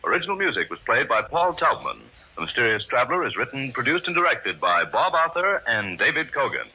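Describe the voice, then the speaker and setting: A man's voice: medium pitch, sing-song, a little fast, a little hiss or hum. A man announcing in a formal, scripted 1940s radio style. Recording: dull old radio recording with music.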